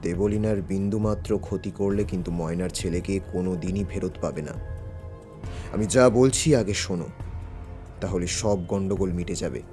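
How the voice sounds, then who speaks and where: A man playing a stern, principled detective in an audio drama, speaking calmly and deliberately. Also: sits low, natural voice. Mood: firm demand. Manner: calm, firm, deliberate. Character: stern, principled veteran male detective, unafraid. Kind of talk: Bengali audio drama acting. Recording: music behind the voice.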